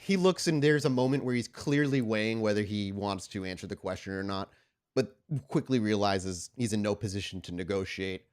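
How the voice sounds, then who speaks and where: Low-voiced man narrating a tabletop game. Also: fairly quick, smooth. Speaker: storyteller, game master.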